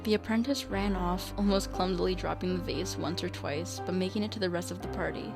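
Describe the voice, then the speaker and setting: A woman's voice: lowish voice, steady tone, brisk, vocal fry, music in the background. A woman narrating a scripted radio drama in the manner of a storyteller.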